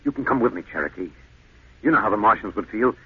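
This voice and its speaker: Casting: brooding, fanatical American spaceman-killer, low husky voice, very thin vintage radio sound. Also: lively intonation, fast, no echo. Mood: cold menace. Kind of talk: 1950s American radio drama acting. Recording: muffled, thin 1950s radio broadcast.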